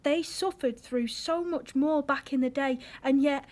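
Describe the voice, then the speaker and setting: A high, earnest young British woman's voice played by an actress in an audio drama. She is a frustrated, hurt girlfriend who speaks emotionally and pleadingly. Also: measured pace. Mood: wistful, troubled. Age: young adult.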